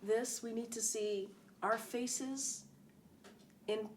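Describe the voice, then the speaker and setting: A woman's voice: a little high, steady tone, very slow, slight background noise. A middle-aged woman speaking as an interview guest, earnest and emphatic, often repeating key phrases. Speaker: interview guest, former press secretary. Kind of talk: conversational interview.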